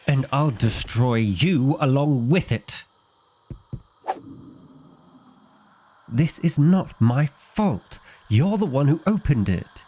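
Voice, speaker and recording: A man's voice: mid-range voice, lively intonation, slow pace, dark tone, slightly rough. A man playing a fussy, exasperated vampire librarian in an ASMR roleplay, with an indignant, officious and dryly comic delivery. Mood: panicked, indignant.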